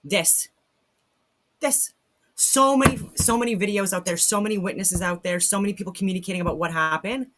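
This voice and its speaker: A woman with a medium-pitched voice gives off-the-cuff commentary as a live stream host. She is blunt and skeptical, hammering points home by repeating her phrases. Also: fairly quick, fairly bright tone, a little hiss or hum. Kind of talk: casual live reaction commentary.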